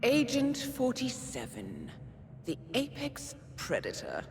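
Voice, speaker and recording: An actress playing a cold, mocking handler in a video game cutscene, taunting with theatrical, drawn-out delivery. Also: female voice, fairly high pitch, huge pitch swings, noisy background, heavily acted. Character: cold mocking female handler, hallucinated tormentor of an assassin.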